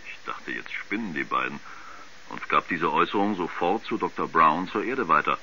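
An actor playing an irreverent mission radio operator, answering bluntly and colloquially in an old radio play. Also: male voice, extremely low pitch, lively intonation, moderate pace, bright, forceful tone, full character voice. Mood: blunt, matter-of-fact. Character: plain-spoken, irreverent male mission radio operator being interviewed. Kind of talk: 1970s German radio drama acting. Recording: dull vintage radio recording, faint background noise.

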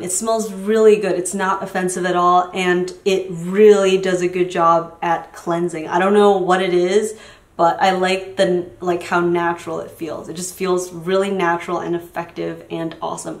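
A woman's voice: on the low side, roomy sound. A young woman in her twenties, a beauty vlogger whose first language was Chinese, chatting in a rambling, casual way at a camera in a small room. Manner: chatty, rambling, trails off into mumbles. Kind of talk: casual chat.

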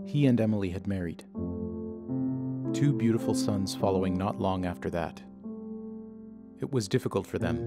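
A man narrating a scripted audio drama in a measured storytelling manner, with a gravelly voice. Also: on the low side. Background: music.

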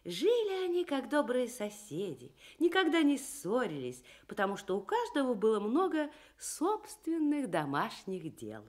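High, very lively woman storyteller. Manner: theatrical, deliberate phrasing. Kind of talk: expressive children's story narration.

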